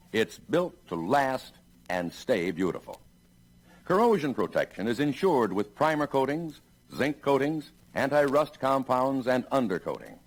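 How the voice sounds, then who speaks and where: A man with an American accent narrates like a 1960s industrial film announcer. His pitch moves in lively swings, and his delivery is measured and emphatic, as if presenting a product to salesmen. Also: rough recording.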